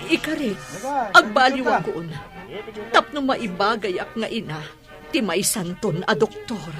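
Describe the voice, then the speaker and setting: A woman's voice: mid-range voice, expressive, measured pace, lightly acted. A woman playing a remorseful middle-aged mother in an emotional radio drama, with a Filipino accent. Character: remorseful middle-aged mother begging her family's forgiveness. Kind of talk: Ilocano radio drama acting. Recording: music bed behind voice.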